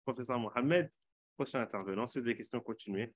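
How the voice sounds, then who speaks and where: A man's voice: mid-range voice, quick pace. A man hosting an online teacher panel, chatty and often correcting himself. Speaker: host.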